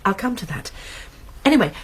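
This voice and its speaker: A shrewd British woman detective in a radio mystery. Her voice is slightly husky and very expressive in pitch, and she sets out her reasoning methodically, with the odd hesitant stammer. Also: fairly quick. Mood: earnest, explaining. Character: shrewd woman amateur sleuth, methodical and earnest. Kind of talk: radio drama acting.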